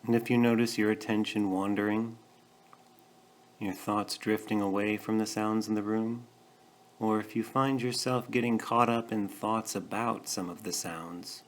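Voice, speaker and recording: A man leading a guided meditation, speaking gently and in a measured way with pauses between short phrases. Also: on the low side, fairly even intonation. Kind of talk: guided meditation, calm instruction.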